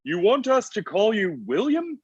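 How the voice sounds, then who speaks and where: A man's voice: high-pitched, very animated, normal speed, a little mellow, slightly rough, lo-fi sound, exaggerated voice. A man playing Santa Claus as an insecure, petulant, needy husband in a comedy table read, hesitant and easily wounded. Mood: hesitant, puzzled.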